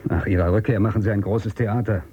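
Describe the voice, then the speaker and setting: An actor playing a brusque, suspicious outcast in a radio drama, with a commanding, curt way of questioning. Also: male voice, lowish voice, steady tone, a little fast, husky, lo-fi sound. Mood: wary. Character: brusque, suspicious male outcast hiding in abandoned future city. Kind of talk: German radio drama acting.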